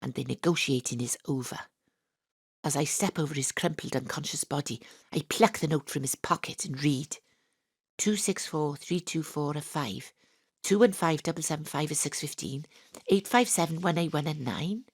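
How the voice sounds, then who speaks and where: Low-voiced, husky, hard-boiled female detective. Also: moderate pace, no room sound, clean studio sound. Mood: deadpan, wry. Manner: wry, deadpan. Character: hard-boiled wisecracking female private detective, sardonic and impatient. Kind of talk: first-person noir narration in an audio drama.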